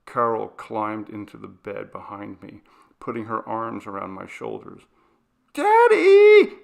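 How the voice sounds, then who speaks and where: A man reads a ghost story as scripted narration. His pitch is slightly low, his delivery is unhurried, and there is a marked fry in the voice. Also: flat delivery, bright, forceful tone. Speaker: audiobook narrator.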